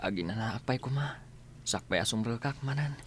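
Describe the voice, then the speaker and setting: A man acting as a gentle, sickly schoolboy in a radio drama, quietly determined. His mid-pitched voice sounds over faint room ambience. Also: animated, moderate pace, close to an everyday voice. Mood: subdued, determined. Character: gentle, determined ailing schoolboy, devoted son. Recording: faint background ambience and noise.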